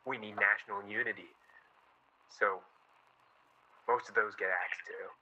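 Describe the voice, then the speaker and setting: A man acts out a bitter 1940s newspaperman in a radio drama. His voice is husky and very bright and forceful, with a wry, needling edge. The audio is a little dull. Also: on the low side, steady tone, measured pace, exaggerated voice. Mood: bitter, frustrated. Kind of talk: radio play acting.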